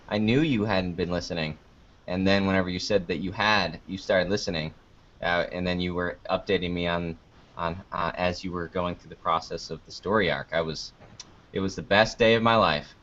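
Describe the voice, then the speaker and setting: A man's voice: low voice, fairly quick, fairly bright tone. A young American man chatting casually as an interviewed podcaster, with a joking, playfully melodramatic manner. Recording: dull lo-fi call-like audio.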